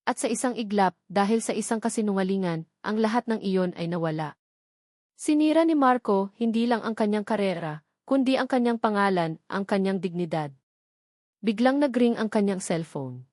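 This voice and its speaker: A woman's voice: medium pitch, expressive, moderate pace, a little mellow, no room sound, clean studio sound. A woman with a Filipino accent reads a scripted love story as a dramatic narrator.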